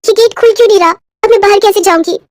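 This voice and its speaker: A woman's voice: very high voice, quick pace, no room sound, studio quality, voice pushed up. A woman voicing a naive young foreign orphan bride in a moral story, speaking broken Hindi with muddled verb genders. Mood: anxious. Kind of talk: acted dialogue in a moral story. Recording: music behind the voice.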